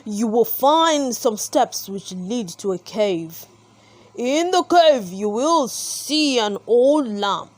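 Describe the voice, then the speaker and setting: A woman's voice: a little high, expressive, slow, no room sound. A woman voicing an evil, short-tempered male magician in a storybook, with a commanding, bellowing delivery. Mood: commanding, angry.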